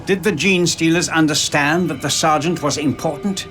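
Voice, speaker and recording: Guy doing a grave formal game narrator, kinda higher male voice, portentous, loud music under it. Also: normal speed, close to an everyday voice. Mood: solemn. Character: grave, solemn male war chronicler. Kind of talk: scripted dramatic game narration.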